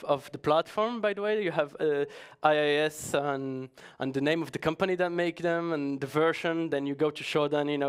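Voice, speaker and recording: A man's voice: fairly high pitch, clear, resonant tone. A man giving a conference talk in a chatty, joking way, often hesitating and restarting. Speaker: conference speaker.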